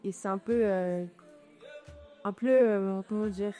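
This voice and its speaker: Shy 18 yr old girl, mellow voice, lots of ums, faint music behind. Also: mid-range voice, steady tone, slow pace. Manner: shy, hesitant, many fillers. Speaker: student model. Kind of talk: interview answers.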